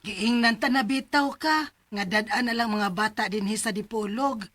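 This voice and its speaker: A woman's voice: fairly high pitch, moderate pace, over music, close to an everyday voice. A woman acting a kindly, helpful older aunt in a Bisaya radio drama, speaking reassuringly with a Filipino Bisaya accent.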